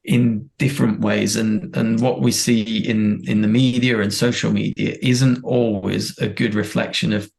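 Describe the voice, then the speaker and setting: A man's voice: on the low side, flat delivery, unhurried, gravelly, few pauses, darkish tone. A man who grew up in Thailand and India, a performance director, talking earnestly and reflectively in a podcast interview, often restarting his sentences.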